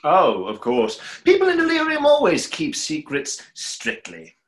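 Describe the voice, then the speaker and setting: A man's voice: on the high side, huge pitch swings, unhurried. A young man playing a skeptical stage conjurer in an online play reading, with a dry, sardonic delivery. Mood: wry. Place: small room at home, video call.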